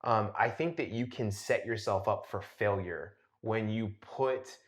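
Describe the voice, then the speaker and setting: A man's voice: fairly low pitch, a little slow. Man podcast co-host in an echoey room. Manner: thinks aloud, checks in with "right?". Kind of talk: casual discussion. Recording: roomy, echoey sound.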